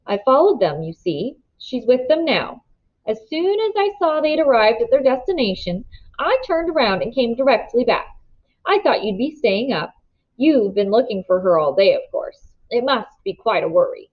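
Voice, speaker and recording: A woman voicing a smooth, scheming older man who talks in polite, ingratiating tones with quiet menace. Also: fairly high pitch, very animated, moderate pace. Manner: silky, ingratiating, then hard bargaining. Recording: dull lo-fi recording.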